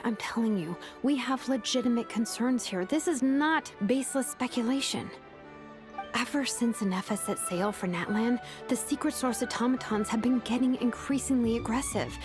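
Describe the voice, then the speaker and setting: A woman's voice: a little high, close to an everyday voice. An actress voicing a cautious, thoughtful young woman who speaks earnestly and measuredly, sometimes hesitating. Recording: game soundtrack music behind.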